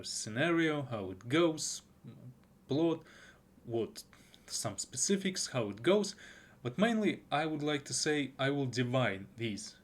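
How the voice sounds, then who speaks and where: Young guy, russian native, slow hesitant talk, restarts a lot, mid pitch. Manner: halting, with frequent restarts and fillers. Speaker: YouTuber. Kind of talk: casual unscripted anime review.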